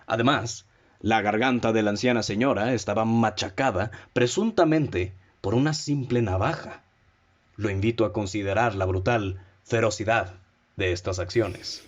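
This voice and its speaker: An actor playing a calm, methodical male detective in a radio drama, measured and lecturing as he lays out his deductions and poses pointed questions. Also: fairly low pitch, dull audio, natural voice. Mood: probing.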